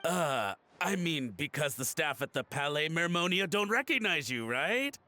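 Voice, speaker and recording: Flustered, grovelling antique collector, swooping voice. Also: male voice, a little high, very animated, unhurried, sharp tone, exaggerated voice. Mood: ingratiating, awkward. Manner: flustered, stammering, obsequious, theatrical. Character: nervous, grovelling wealthy male antique collector, self-excusing schemer. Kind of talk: video game voice acting.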